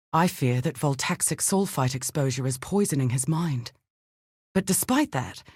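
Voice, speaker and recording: A woman's voice: low voice, darkish tone, no room sound, clean studio sound. A woman playing an earnest, analytical female archaeologist and scientist in a video game, speaking precisely and formally. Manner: precise, formal, articulate, thoughtful. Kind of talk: scripted video game dialogue.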